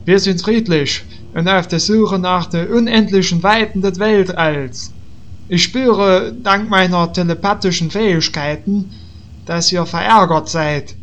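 An actor playing a bumbling, pompous space counselor in a comic audio drama, speaking in a halting, awkward way. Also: male voice, high voice, a bit bright.

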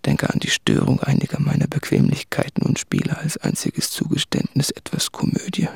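An actor playing a guilt-ridden male writer in a radio play, confessing in an intense, introspective, articulate monologue with a husky voice. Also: fairly high pitch, wildly swooping pitch, a little fast, full character voice. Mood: bleak, confessional. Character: anguished, guilt-ridden male writer addressing his fiancée. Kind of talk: literary radio play monologue from letters and diaries.

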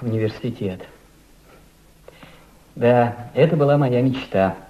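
An admiring young man played by a male actor speaks respectfully and a little hesitantly at a medium pitch. He is earnest and eager, in an old radio drama with dull, muffled sound. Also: takes it slowly, mellow, lightly acted. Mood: eager, wistful. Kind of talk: Soviet radio drama acting.

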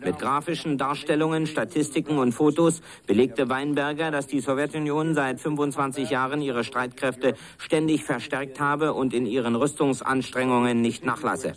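A man's voice: medium pitch, fairly even intonation, normal speed, hardly any pauses. A man reading a scripted news report in a formal style. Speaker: newsreader.

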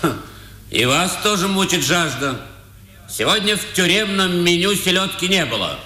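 An actor playing an officious, sarcastic prison warder, impatient and sardonic, in a 1950s Soviet radio drama. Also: male voice, high voice, big pitch swings, moderate pace, bright, forceful tone, reverberant, exaggerated voice.

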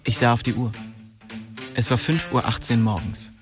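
A man's voice: medium pitch, normal speed, over music, natural voice. A male actor playing the young first-person narrator of a radio crime drama, telling it in a terse, inward, restrained way. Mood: terse, reflective. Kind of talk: scripted radio play narration.

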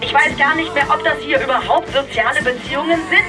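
A woman's voice: high-pitched, fast, recorded from a distance, close to an everyday voice. An actress playing a despairing, disoriented woman in a declamatory radio drama monologue. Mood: lost, insistent. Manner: declamatory, breaks into screamed outbursts. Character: despairing, disoriented woman ranting about her relationships. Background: music.

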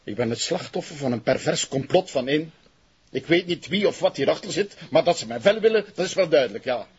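An actor playing a cornered senior police officer in a television drama, scornful and defiant, with a husky voice and Flemish accent. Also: male voice, on the high side, expressive, fairly quick. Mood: scornful, indignant. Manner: mocking, indignant. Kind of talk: naturalistic television drama acting. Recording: dull, lo-fi TV audio.

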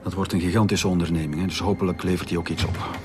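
An actor with a Flemish accent playing a measured, authoritative police director in a radio drama. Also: male voice, deep voice, fairly even intonation, loud music behind the voice, natural voice. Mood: businesslike.

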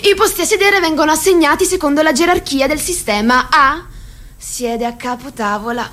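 A woman acting as a formal narrator in a radio play, reciting in a deliberate, measured way. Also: high voice, a bit bright. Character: female narrator reciting a party hierarchy, precise and formal. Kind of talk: theatrical radio drama narration in Italian.

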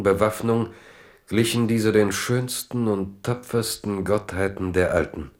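A man narrating a literary radio drama in a measured, solemn way. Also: low voice.

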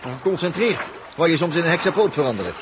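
A noisy old radio drama recording of a jocular male cyberneticist played by an actor. He has a Netherlands Dutch accent and a lively, fairly high voice, thinking aloud in colloquial, playful phrases. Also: fast, close to an everyday voice. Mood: eager. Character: cheeky, ingenious male cyberneticist on a space crew. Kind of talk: 1960s Dutch science-fiction radio play acting.

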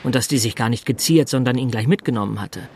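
Radio play lead: understated, smitten systems consultant, played by a man, medium pitch, faint noise behind. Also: fast, a little mellow, close to an everyday voice. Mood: amazed. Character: sober male systems consultant, smitten city single. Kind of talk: German radio play acting.

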